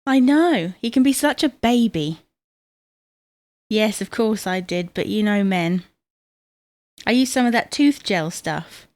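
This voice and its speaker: A brisk, no-nonsense middle-aged wife, played by an actress, mothers and scolds her husband in a domestic audio comedy. Her pitch is average for a woman, and the sound is dry, with no room to it. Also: animated, a little mellow, no room sound, faint music behind, lightly acted. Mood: chatty.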